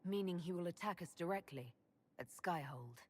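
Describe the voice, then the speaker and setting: A woman's voice: on the low side, animated, moderate pace, slightly rough. A woman playing a decisive, heroic young army leader in a video game, direct and earnest. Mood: concerned.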